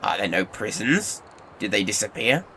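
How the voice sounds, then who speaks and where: A man's voice: on the low side, sing-song, moderate pace, pushed and bright, breathy edge, heavily acted, low-quality recording. An actor playing a miserly, grumpy old businessman in an audio play, curt and sarcastic. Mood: cold sarcasm.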